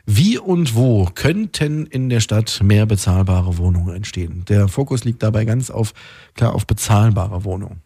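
A man's voice: lowish voice, animated, moderate pace, mellow, breathy edge, dry recording. A man hosting a radio interview, putting questions and addressing listeners in a conversational way.

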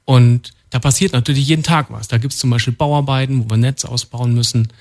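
Calm man explaining his work. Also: medium pitch, fairly quick. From North Rhine-Westphalia, Germany. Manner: calm, explanatory, matter-of-fact. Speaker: studio guest, department head. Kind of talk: radio interview answers. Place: radio studio.

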